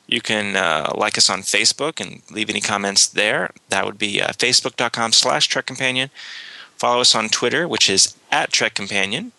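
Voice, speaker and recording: Rambling male podcast host, bright and dry. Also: on the low side, moderate pace, vocal fry, no room sound, poor audio. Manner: rambling, many fillers and restarts. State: slight cold. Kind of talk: casual podcast announcements.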